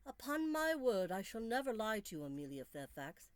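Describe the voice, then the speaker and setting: A woman voicing a charming, intense Victorian gentleman in a storybook, courtly, romantic and deliberate. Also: medium pitch, big pitch swings, no room sound, voice pushed up, close to an everyday voice. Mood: earnest. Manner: courtly, deliberate, sometimes hushed.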